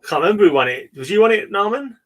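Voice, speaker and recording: A man's voice: high-pitched, very animated, very fast. A British man chatting casually and informally as host of a comics hangout. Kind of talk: casual chat.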